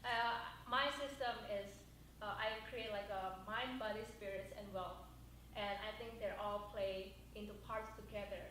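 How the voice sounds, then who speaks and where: A woman's voice: medium pitch, fairly even intonation, normal speed, fairly bright tone. A woman with an Indonesian accent speaks earnestly as a guest on a panel. The room around her is very echoey. There is a faint hiss under her voice. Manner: earnest, non-native phrasing. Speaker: wellness panelist. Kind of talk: panel discussion.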